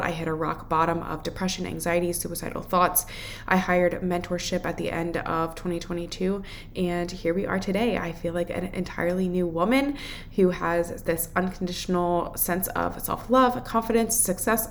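A woman's voice: on the low side, a little hiss or hum. A woman with a head cold, congested, hosting a podcast alone in an earnest, encouraging, casual and unscripted way. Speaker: podcast host and self-improvement mentor.

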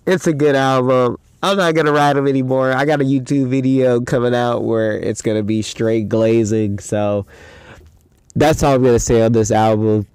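A man's voice: medium pitch, fairly even intonation, normal speed, a little mellow, clear, resonant tone, no echo. A young man hosting a casual solo podcast, talking in a loose, rambling, slangy way with a stuffy nose from a head cold. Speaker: podcast host.

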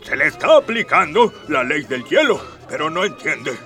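Pompous, high-voiced emperor. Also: male voice, big pitch swings, bright, forceful tone, distant mic, faint music behind. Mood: aggrieved. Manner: theatrical, drawn-out, grandiose speech. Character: pompous, aggrieved emperor father of a rebel son. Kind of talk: scripted radio drama acting.

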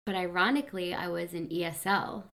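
A young woman from Colombia, a podcast co-host chatting casually and telling stories with excitement. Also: lowish voice, fairly quick, vocal fry. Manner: animated, excitable storyteller. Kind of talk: casual chat.

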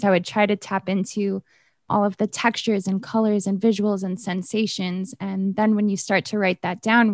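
A woman's voice: medium pitch, no room sound, clean studio sound. A woman interviewing her former teacher, asking questions in an enthusiastic, admiring way. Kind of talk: casual interview.

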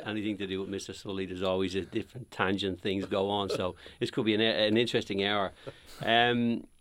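A man's voice: lowish voice, flat delivery, fairly quick, fairly bright tone. A middle-aged man from Dublin with a Dublin accent, telling his life story as a podcast guest with lots of ums and restarts. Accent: Dublin Irish. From Ireland. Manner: rambling, many ums and restarts. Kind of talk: casual podcast interview.

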